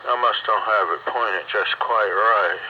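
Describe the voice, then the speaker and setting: A man's voice: fairly low pitch, fairly even intonation, measured pace. A man chatting casually over hobby radio, using folksy radio lingo. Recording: dull, very noisy radio transmission. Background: loud radio noise.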